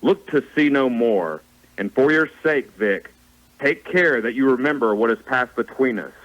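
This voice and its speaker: A man's voice: mid-range voice, lively intonation, moderate pace, a bit bright, lo-fi sound, lightly acted. A man playing the mournful ghost of a dead business partner in a radio play, solemn and declamatory. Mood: solemn warning. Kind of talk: theatrical radio play acting.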